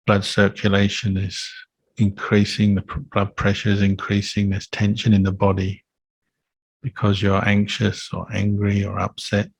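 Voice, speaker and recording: Slow, low-voiced man guiding meditation. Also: gravelly, slightly rough, no room sound. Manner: calm, unhurried, gentle pauses between phrases. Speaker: Buddhist monk teacher leading meditation. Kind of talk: guided meditation instruction.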